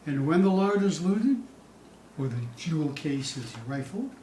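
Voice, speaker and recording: A man giving a theatrical poetry recital, dramatic and suspenseful, with drawn-out phrases moving between hushed dips and loud peaks. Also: a little high, animated. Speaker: poetry reader. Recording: distant, echoey room with faint hiss.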